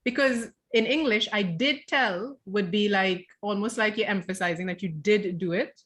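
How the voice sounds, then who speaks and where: A woman's voice: medium pitch, normal speed, fairly bright tone. Trinidadian woman explaining thoughtfully. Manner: thoughtful, explanatory, restarts phrases. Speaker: guest author. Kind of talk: casual book club chat.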